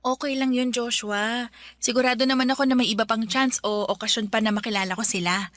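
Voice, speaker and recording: Radio drama: polite twentysomething guest, bubbly and high-pitched, played by a woman. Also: steady tone, fairly quick, fairly bright tone, no room sound, natural voice. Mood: cheerful. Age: 20s.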